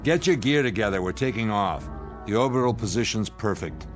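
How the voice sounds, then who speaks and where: Man acting a low-voiced, sardonic spaceship commander. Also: lively intonation, fairly quick, soft background music, close to an everyday voice. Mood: scornful, stern. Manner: commanding, scornful, plain-spoken. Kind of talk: radio drama acting.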